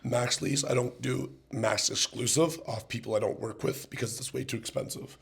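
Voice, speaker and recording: Hoarse, gravelly man from Ontario. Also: mid-range voice, steady tone, normal speed, fairly bright tone. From Ontario, Canada. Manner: laid-back, joking, self-assured. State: hoarse, voice worn out. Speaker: guest rapper. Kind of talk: casual podcast chat.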